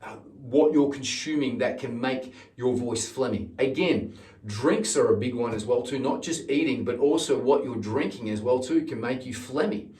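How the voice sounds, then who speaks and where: A man's voice: medium pitch, moderate pace, a little mellow. A middle-aged man hosting an informal instructional video as a singing teacher, explaining things with emphasis on key words and frequent repetition. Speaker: singing teacher and channel host. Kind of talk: informal instructional talk to camera. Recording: roomy echo, distant microphone.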